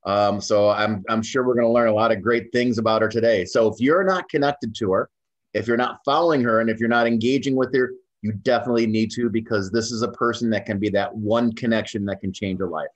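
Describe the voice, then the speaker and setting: A man's voice: fairly low pitch, fairly even intonation. Host, middle-aged man, easygoing with lots of ums, lo-fi webcam sound. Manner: friendly, slow, with many fillers. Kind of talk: interview over video call. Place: room with bookshelves. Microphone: webcam on video call. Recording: dull lo-fi video call audio.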